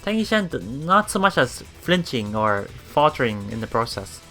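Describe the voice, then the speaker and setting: Bright-voiced streamer reading a game aloud. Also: mid-range voice, big pitch swings, normal speed, soft background music. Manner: stumbles over words and repeats them. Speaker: let's play streamer. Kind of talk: reading game text aloud with casual reactions.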